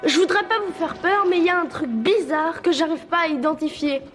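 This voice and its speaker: Cartoon kid: very high voice, slangy, blunt, wary wisecracker, music behind. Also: female voice, fairly quick, a bit bright. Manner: blunt, colloquial. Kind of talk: French cartoon dubbing.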